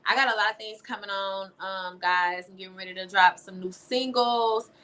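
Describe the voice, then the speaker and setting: A woman's voice: mid-range voice, fairly even intonation, normal speed, sharp tone. A young American woman talking casually and emphatically as an interview guest, often adding "you know". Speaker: interview guest, teacher and singer-songwriter. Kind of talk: casual conversational interview answers.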